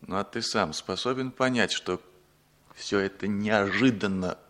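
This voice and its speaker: Wary, forceful younger brother. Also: male voice, lowish voice, unhurried. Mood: demanding, suspicious. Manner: blunt, questioning. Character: wary, blunt younger brother demanding answers. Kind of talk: 1960s Soviet radio drama acting.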